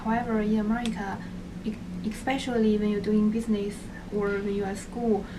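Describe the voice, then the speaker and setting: A woman's voice: mid-range voice, level tone, brisk. A young woman from Beijing, a native Chinese speaker who chooses her English words carefully as she answers interview questions. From Beijing, China. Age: young adult. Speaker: interviewee, finance student. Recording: distant mic with clear background noise.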